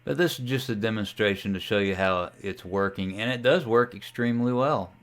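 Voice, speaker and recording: A man giving a casual, folksy tutorial as a ham radio hobbyist, talking through a software demo in an unhurried way. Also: on the low side. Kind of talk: casual screen-share tutorial.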